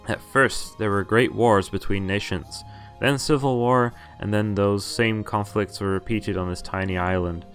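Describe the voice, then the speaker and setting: A man's voice: lowish voice, steady tone, moderate pace, fairly bright tone, soft background music. A man streaming a visual novel, reading its text aloud and adding casual, wry asides, often stumbling over names and correcting himself.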